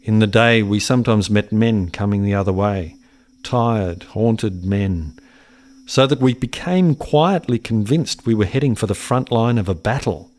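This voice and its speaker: An actor playing a haunted settler who recalls his lost brother in deliberate, poetic radio-play narration. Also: on the low side, a little mellow, lightly acted. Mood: brooding, haunted.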